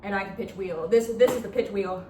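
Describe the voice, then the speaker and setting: A woman's voice: medium pitch, fairly quick, over loud music. Echoey, distant woman giving an informal lesson. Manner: step-by-step, demonstrative explaining. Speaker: instructor demonstrating a talk box. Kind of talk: informal explanatory lecture. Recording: echoey, distant mic with background noise.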